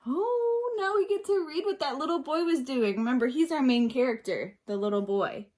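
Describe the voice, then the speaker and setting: A woman reading a picture book aloud like a teacher, expressively, pausing to ask and explain things simply for young children. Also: high-pitched, expressive, darkish tone, slight background noise. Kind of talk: read-aloud storytelling mixed with simple questions and explanations.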